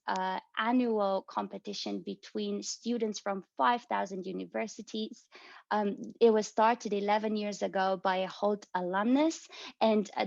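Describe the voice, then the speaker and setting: Gravelly woman on a dull video call. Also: medium pitch, no room sound. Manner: steady, with frequent "uh" and "um" fillers. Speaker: corporate relations manager.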